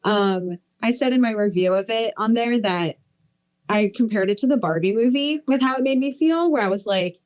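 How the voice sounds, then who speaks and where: A woman's voice: a little high, normal speed, darkish tone. A woman in her late twenties, a podcast guest, chatting casually and rambling eagerly with lots of fillers. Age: 20s. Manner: rambling, enthusiastic, many fillers. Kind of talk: casual chat.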